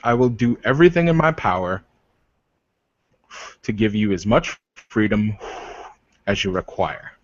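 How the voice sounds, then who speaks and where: A man's voice: lowish voice, lightly acted. A man playing a protective, proud werewolf warrior leader in a tabletop game, speaking in emphatic declarations. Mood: insistent, reassuring. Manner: slow, emphatic, declarative speech. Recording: roomy, dull lo-fi microphone.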